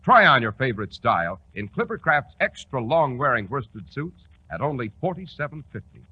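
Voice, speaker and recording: A man's voice: medium pitch, sing-song, moderate pace, slightly rough. A man with an American accent announcing on 1940s radio, with a polished, emphatic sales delivery. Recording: muffled 1940s radio recording.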